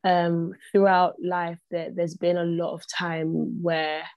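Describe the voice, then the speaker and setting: Low, gravelly woman speaking earnestly. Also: level tone, slow pace, no echo, dull audio. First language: not English. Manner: earnest, repeats phrases for emphasis. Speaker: podcast guest. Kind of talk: reflective podcast conversation.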